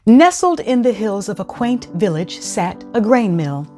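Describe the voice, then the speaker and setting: Lively woman reading a children's story, music behind. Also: mid-range voice, a little slow. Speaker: host and storyteller of a children's read-aloud show. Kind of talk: expressive children's storybook read-aloud.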